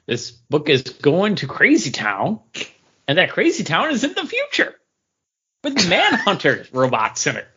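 Very animated, husky man. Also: on the high side, a little slow. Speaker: comics podcast co-host. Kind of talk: casual chat. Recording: dull lo-fi audio.

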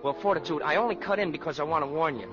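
An actor playing an excitable, naive college boy with slangy, boyish delivery in 1940s radio comedy style. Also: fairly high pitch, very fast, music in the background, natural voice. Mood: astonished. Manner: gee-whiz slangy, boyish. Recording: muffled 1940s radio recording.

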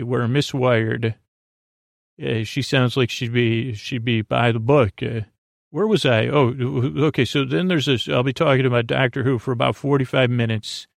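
A man hosting a bedtime podcast in a creaky voice, with a soothing, halting, tangent-filled ramble that stumbles over words. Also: mid-range voice, no echo, studio quality. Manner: soothing, lulling, whimsical. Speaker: podcast host. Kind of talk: rambling bedtime monologue to help listeners sleep.

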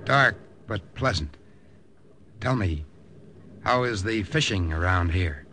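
A terse American secret agent in a vintage radio drama. His voice is on the low side, and he delivers his words in a clipped, matter-of-fact, steady way. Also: slow pace, slight background noise, full character voice. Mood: polite, businesslike. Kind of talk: 1950s radio drama narration and acting.

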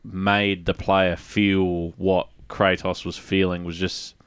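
A man co-hosting a casual gaming discussion, talking in an articulate, measured way with some emphasis. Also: deep voice, level tone, a bit bright, smooth, no room sound, clean studio sound. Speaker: podcast co-host.

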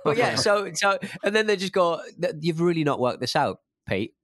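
A man's voice: fairly high pitch, sing-song, moderate pace, a bit bright, no room sound, clean studio sound. A man in comic podcast chat, rambling along in a silly, self-mocking way. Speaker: podcast panellist.

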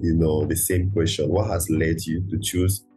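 A man's voice: very deep voice, little pitch movement, moderate pace, mellow, breathy edge, soft background music. A man hosting a podcast interview, asking questions with frequent "you know" fillers. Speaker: podcast host.

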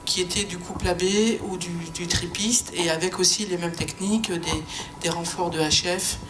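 Low-pitched woman over clear ambient noise. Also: steady tone, moderate pace. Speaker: panel participant. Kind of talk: spontaneous technical answer.

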